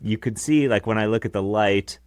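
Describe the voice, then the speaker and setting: A man in his thirties with a deep voice, co-hosting a podcast in casual chat from a small home studio on a close broadcast mic. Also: moderate pace, a little mellow, smooth, no room sound. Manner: chatty, explaining his gear with casual asides. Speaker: podcast co-host. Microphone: close dynamic broadcast mic.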